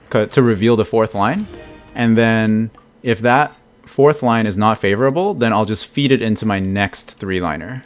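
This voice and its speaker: An American man in his thirties, chatting casually while streaming a game and answering his chat, laughing often. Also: lowish voice. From United States. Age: 30s. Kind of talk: casual live-stream commentary.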